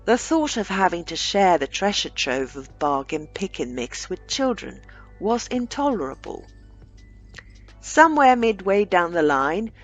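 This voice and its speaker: Woman, story narration, unhurried slow delivery, lowish pitch, dramatic pauses, soft music behind. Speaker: storyteller reading own short story aloud.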